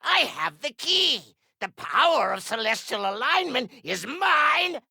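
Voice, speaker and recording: A woman's voice: high voice, big pitch swings, a little slow, vocal fry, bright, forceful tone, no room sound, clean studio sound, full character voice. A woman playing an imperious, power-hungry video game villain with a commanding, theatrical delivery. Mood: furious, menacing.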